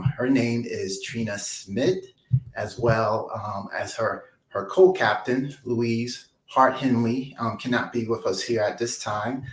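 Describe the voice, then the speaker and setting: A man hosting an interview, introducing his guest effusively with many pauses and repeated phrases. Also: medium pitch. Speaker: interview host. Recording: echoey room.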